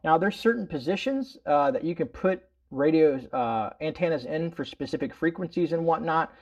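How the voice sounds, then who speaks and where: A middle-aged man with a fairly high voice walks through a product demo. He talks informally and wanders through his explanations, tacking verbal tags onto phrases. The recording is slightly dull. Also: lively intonation, moderate pace. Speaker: host of a prepping product review channel. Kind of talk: casual product demonstration. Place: indoors in a room at home.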